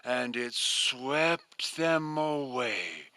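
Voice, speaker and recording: An older man telling a story live to an audience, slowly and expressively in drawn-out phrases. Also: mid-range voice, animated, sharp tone, clear, resonant tone, no echo.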